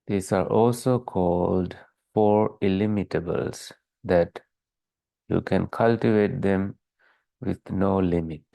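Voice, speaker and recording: A man leading a guided meditation as a teacher, calm and measured, leaving long pauses between short phrases. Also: low voice, vocal fry, no echo.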